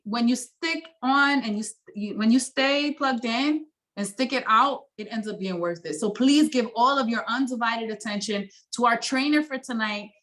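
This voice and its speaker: Woman, rather high voice, earnest host, introducing a speaker on a video call. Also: a bit bright. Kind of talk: event introduction.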